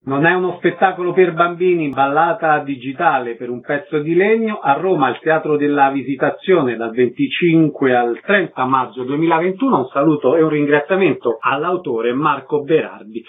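A man's voice: fairly high pitch, lively intonation, moderate pace, few pauses, husky. A man hosting a radio interview, reading announcements and asking short questions. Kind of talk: scripted announcements and brief questions. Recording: narrow phone-like radio sound.

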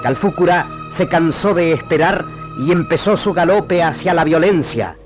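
An actor with an Argentine accent voicing the vast pampa as a solemn, poetic epic storyteller in a radio drama. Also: male voice, on the high side, animated, normal speed, over music, close to an everyday voice. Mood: epic, grave. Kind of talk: scripted radio drama narration.

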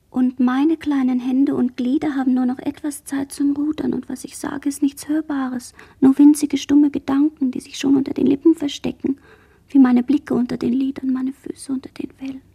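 A woman playing a dazed, traumatized girl survivor in a halting, introspective radio drama monologue that dips into whispers. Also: high voice, flat delivery, creaky, warm tone. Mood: faint, haunted. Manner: halting, fragmented, introspective delivery, dropping into whispers.

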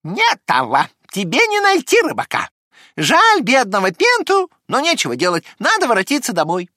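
A man voicing a small, doubting female animal in an expressive children's storybook reading. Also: very high voice, normal speed, dry recording, studio quality, voice pushed up, heavily acted. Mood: pitying, resigned. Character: mocking, gloomy female pig and duck, high-voiced animals.

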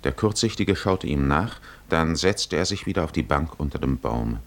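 A man narrating a 1950s German radio drama as a measured, solemn literary storyteller. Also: rumbling low, lively intonation. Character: solemn male storyteller of an epic.

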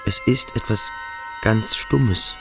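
An actor playing a melancholy, yearning schoolboy thinking aloud in in a radio drama, introspective and literary. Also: male voice, fairly low pitch, slow, vocal fry, over music. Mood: brooding, fascinated. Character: melancholy, yearning schoolboy lost in his thoughts. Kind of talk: radio drama acting, interior monologue.

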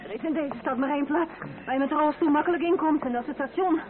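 Radio drama: sharp-tongued, protective young wife played by a woman, assertive and rapid, band-limited sound. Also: high voice, natural voice. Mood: indignant, sarcastic. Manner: blunt, sarcastic, assertive. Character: defiant, sharp-tongued young married nurse, fiercely protective. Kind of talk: radio play acting. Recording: muffled phone-like band, loud crowd noise.

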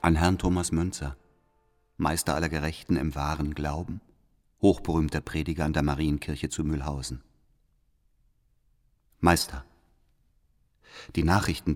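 An actor playing a secretive, pious informant who delivers an urging letter in a measured, solemn, insistent way in an audio drama. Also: male voice, rumbling low.

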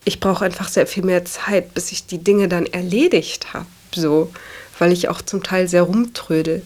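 A woman's voice: fairly low pitch, fairly even intonation, moderate pace, a little mellow. Reflective young woman chatting casually. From Germany. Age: 20s. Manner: often ends phrases with a questioning "ja". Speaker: interviewee. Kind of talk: reflective casual personal talk.

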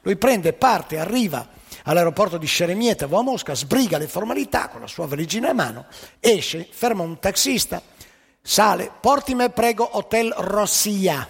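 A husky, gravelly man tells a comic story with wry, animated delivery. His pitch sits high and leaps about. The sound carries a long hall reverb. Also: moderate pace. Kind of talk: theatrical joke telling.

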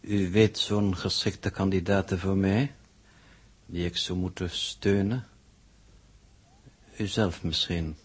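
Aloof, husky, low-voiced space coordinator. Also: male voice, steady tone, full character voice. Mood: sardonic. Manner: formal, dry, sardonic, measured. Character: aloof, sardonic male space mission coordinator and scientist. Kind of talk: 1960s Dutch radio drama acting.

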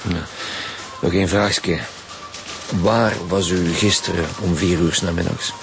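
An actor playing a stern, sceptical middle-aged police inspector with a gravelly voice in a naturalistic radio drama. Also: male voice, deep voice, fairly even intonation, measured pace, music in the background. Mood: probing, polite.

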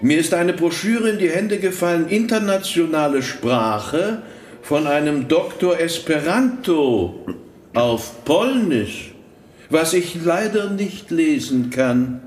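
Radio drama clergyman: polite, deliberate, slow, slightly high voice in a roomy space. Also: animated, a little hiss or hum. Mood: courteous, probing. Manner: courteous, deliberate. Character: polite, measured clergyman attending an archbishop. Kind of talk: radio play acting. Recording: roomy sound, music behind.

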